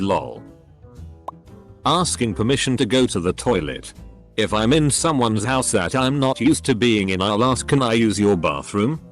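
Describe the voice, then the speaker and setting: A synthetic male narrator reading online posts aloud, with stiff delivery that speaks punctuation marks as words. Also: lowish voice.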